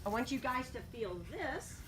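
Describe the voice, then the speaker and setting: A woman's voice: high voice, normal speed, noisy background. A middle-aged woman who works as a heritage interpreter, giving an upbeat, encouraging guided nature talk outdoors to a small group. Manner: upbeat, encouraging, lively speaker. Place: outdoors at a ranch.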